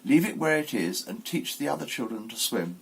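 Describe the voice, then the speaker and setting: A man's voice: mid-range voice, lively intonation, brisk, creaky, slightly rough, poor audio. A man voicing an opinionated old female duck who gives emphatic, know-it-all advice in a storybook. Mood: knowing, insistent.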